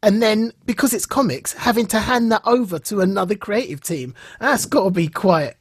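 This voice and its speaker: Husky-voiced male podcast host, close and dry. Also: high-pitched, moderate pace, dry recording. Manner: animated storytelling, acts out reported dialogue. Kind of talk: casual enthusiastic podcast chat.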